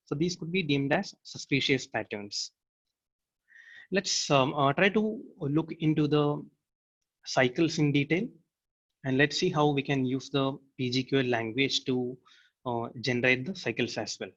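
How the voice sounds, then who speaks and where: A man's voice: medium pitch, fairly even intonation, a little slow, fairly bright tone. Man presenting, dull lo-fi audio. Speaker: technical presenter. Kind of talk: software demo walkthrough, explanatory.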